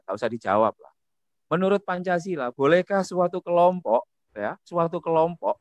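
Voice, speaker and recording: A man giving a public talk, animated, posing quiz questions to the audience. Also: mid-range voice, dry recording. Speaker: speaker at a discussion.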